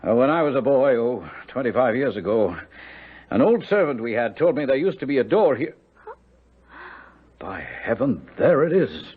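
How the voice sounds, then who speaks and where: An actor playing a middle-aged gentleman doctor in a radio drama, exclamatory and commanding, with some hesitation. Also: mid-range voice, sing-song, moderate pace, breathy edge. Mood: excited, commanding. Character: brisk, skeptical gentleman doctor, shaken by discoveries. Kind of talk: 1970s radio drama acting. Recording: muffled, lo-fi vintage radio recording.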